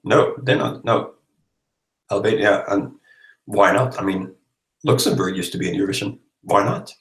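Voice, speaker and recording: A man's voice: fairly low pitch, moderate pace, a bit bright. A tired Swedish man with a Swedish accent, chatting casually on a livestream and answering comments in a rambling, playful way. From Sweden. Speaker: livestream host. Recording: roomy, echoey livestream microphone.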